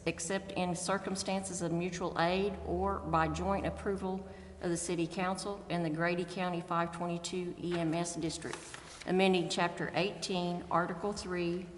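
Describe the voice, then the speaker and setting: A woman's voice: fairly low pitch, slight background noise. Woman chairing a meeting in an echoey chamber. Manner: steady, measured reading of legal text. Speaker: presiding council official. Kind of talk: formal meeting procedure, reading agenda items. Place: council chambers. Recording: echoey chamber with long reverb.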